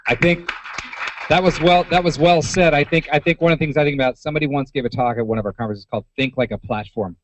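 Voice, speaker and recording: A man's voice: a little high, fairly even intonation, fast talker, a little hiss or hum. A man hosting a conference, informally moderating questions from the audience. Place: conference hall. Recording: muffled event recording, some crowd.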